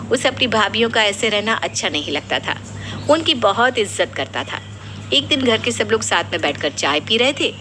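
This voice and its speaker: A woman narrates a scripted family moral tale as its storyteller. Her pitch sits in the middle range for a woman. Clear background noise runs behind her voice. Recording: clearly noisy background ambience.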